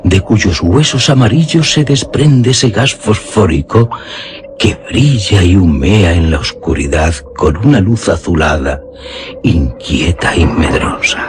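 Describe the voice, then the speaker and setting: A man's voice: deep voice, animated, unhurried. A man narrating a scripted gothic horror tale in a solemn, suspenseful, literary delivery. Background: music under the voice.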